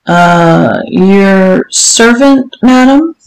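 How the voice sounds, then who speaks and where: A woman's voice: mid-range voice, expressive, drawn-out words, faint music behind. A woman voicing a meek, submissive, pleading man in an audiobook, hesitant and deferential. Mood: meek, uncertain. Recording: roomy, echoing room sound.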